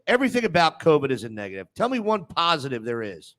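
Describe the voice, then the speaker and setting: Male sports panel host, somewhat high voice, blunt, combative, quick to interrupt. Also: wildly swooping pitch, a bit bright. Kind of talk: heated sports debate and banter.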